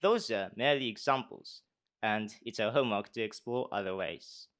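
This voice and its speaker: A man's voice: lowish voice, normal speed, a bit bright. A Japanese university student in his twenties with a posh British accent, giving a speech and then answering questions hesitantly in a conference hall. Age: 20s. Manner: hesitant with many fillers when answering.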